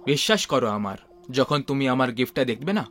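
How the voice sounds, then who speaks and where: A man's voice: mid-range voice, expressive, quick pace, fairly bright tone, slight background noise, natural voice. A man playing an affectionate, teasing young husband in a dramatized horror story.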